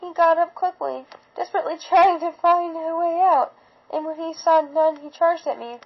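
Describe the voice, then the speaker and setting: A woman's voice: extremely high pitch, steady tone, moderate pace, muffled recording. A woman reading a first-person fan fiction story aloud with dramatic narration.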